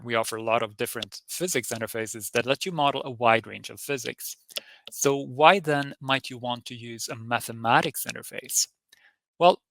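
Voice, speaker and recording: A man from Sweden with a Swedish accent, presenting a technical software webinar in a friendly, explanatory way. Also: mid-range voice, creaky, dry recording, rough recording. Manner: friendly, explanatory, lightly informal.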